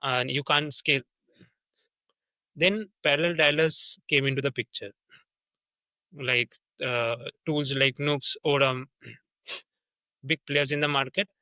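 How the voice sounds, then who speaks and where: A man's voice: on the high side, bright, forceful tone, no echo, low-quality recording. A young man with an Indian accent, a sales rep talking through his work in a casual interview, earnest and full of restarts and fillers. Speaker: sales development representative, guest.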